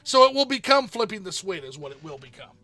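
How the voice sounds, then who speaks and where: Bright, high-voiced man chatting on stream. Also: big pitch swings, fast talker, no room sound. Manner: rambling, reads out viewer chat and answers it. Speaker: streamer host. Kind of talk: casual livestream chat about games.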